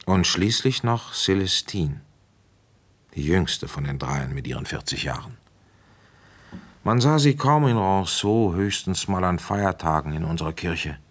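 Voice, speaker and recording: Seasoned middle-aged police inspector in a radio play, low voice, measured and matter of fact. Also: male voice, animated. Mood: sober, reflective. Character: seasoned male village police inspector telling his case. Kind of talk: German radio play narration and dialogue.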